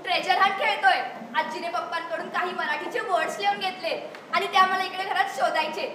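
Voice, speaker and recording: A woman's voice: extremely high pitch, fairly even intonation, brisk, bright, forceful tone, distant mic. A woman playing a chatty, eager city schoolgirl in a stage play, mixing English words into her Marathi. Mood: excited. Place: theatre stage at a youth festival. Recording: echoey stage mic from afar, noisy hall.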